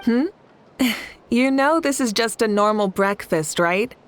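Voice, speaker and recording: A woman's voice: a little high, expressive, slow pace, noisy background. A woman playing a composed, good-humored young female ruler in a video game, with a lightly teasing manner. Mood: friendly, composed. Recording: music behind the voice.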